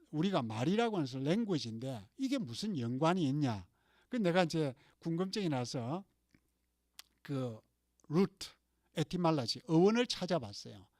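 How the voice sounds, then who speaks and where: A man's voice: on the high side, dry recording, studio quality. A man from the Gyeongsang region with a Gyeongsang accent, preaching a conversational sermon as a pastor and asking the congregation rhetorical questions. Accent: Gyeongsang Korean. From Gyeongsang region, Korea. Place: church.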